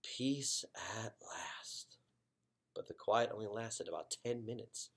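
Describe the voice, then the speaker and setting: A man, a teacher, reading a children's chapter book aloud in an expressive storytelling way. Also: lowish voice, slow, creaky.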